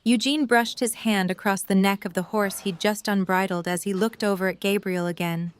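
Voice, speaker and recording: A woman's voice: medium pitch, fairly even intonation, moderate pace, clear, resonant tone. A woman reading scripted story narration for an audio drama.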